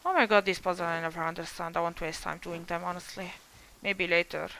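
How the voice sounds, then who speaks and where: A woman's voice: fairly low pitch, steady tone, fairly quick, fairly bright tone, slight background noise, rough recording. A young woman casually commenting while playing a game on her stream, chatty and easily surprised, often trailing off. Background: faint game music.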